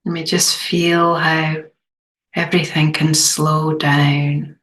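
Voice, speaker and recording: Meditation guide, female. Extremely slow delivery, gentle, low register, little melodic movement. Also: flat delivery, a little hiss or hum. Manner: gentle, unhurried, long pauses.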